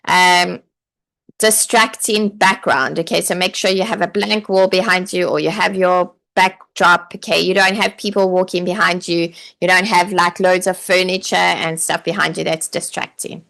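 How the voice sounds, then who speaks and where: A woman's voice: on the low side, level tone, normal speed, vocal fry, fairly bright tone. A woman coaching aspiring online teachers in a live stream, chatty and encouraging, with many "like" and "okay" fillers. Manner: chatty, encouraging, reads viewer comments aloud. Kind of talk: live online training session.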